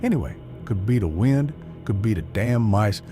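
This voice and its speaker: A man's voice: lowish voice, animated, normal speed, close to an everyday voice. A man acting a jittery old man who lives alone, rambling and folksy as he talks to himself in a comedic audio drama. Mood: peevish, wry. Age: older. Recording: music behind the voice. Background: music.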